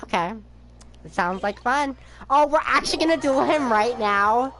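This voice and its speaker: A woman's voice: fairly high pitch, expressive, normal speed, a bit bright. A woman gaming streamer giving casual reaction commentary as she plays. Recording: game music behind the voice.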